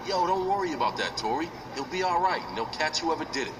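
A man's voice: on the high side, animated, fast talker, close to an everyday voice. A man voicing a cocky slang-talking rapper wrestler. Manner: trash-talking street slang. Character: brash young male rapper wrestler, cocky. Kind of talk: video game cutscene dialogue. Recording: distant, roomy, noisy game audio.

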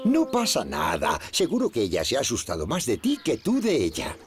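A man playing a kindly, patient older neighbour in a children's cartoon, with a Castilian Spanish accent and gentle, encouraging manner. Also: mid-range voice, wildly swooping pitch, brisk, slight background noise.

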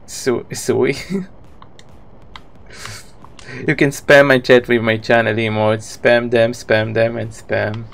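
A man's voice: medium pitch, fairly even intonation, takes it slowly, creaky, pauses often, a bit bright. A man in his thirties with an Israeli accent, a native Hebrew speaker, chatting casually with viewers as he live-streams a video game.

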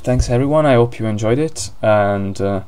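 A man's voice: on the low side, normal speed, noisy background. A man with an Italian accent commentating a board game live, hesitant, with many fillers and restarts.

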